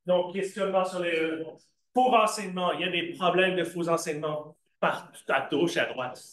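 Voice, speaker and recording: A man leads a church Bible class in a lively, conversational way, asking questions and then answering them himself. His voice sits high for a man, and the echo of the room comes through around him. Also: normal speed. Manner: slips into English asides. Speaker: Bible teacher. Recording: echoey room.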